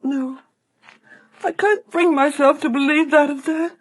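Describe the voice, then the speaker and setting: Very high, plaintive young lady. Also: fairly even intonation. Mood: grieving, defensive. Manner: halting, plaintive. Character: fragile, grieving, trusting orphaned daughter. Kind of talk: 1930s radio acting. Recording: vintage 1930s radio broadcast.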